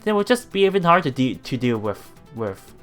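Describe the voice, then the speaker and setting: A streamer reading visual novel text aloud in a halting way, adding casual commentary over game music. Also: mid-range voice, sing-song. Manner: halting reading, often repeating broken words. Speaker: let's player streamer.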